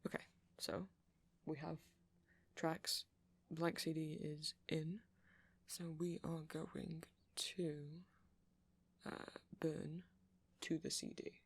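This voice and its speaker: A man's voice: a little high, little pitch movement, takes it slowly, gravelly, breathy edge, exaggerated voice. A man playing a nerdy, kindly vampire who rambles hesitantly and reassuringly in an ASMR roleplay. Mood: absorbed, rambling. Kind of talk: ASMR roleplay, soft conversational monologue.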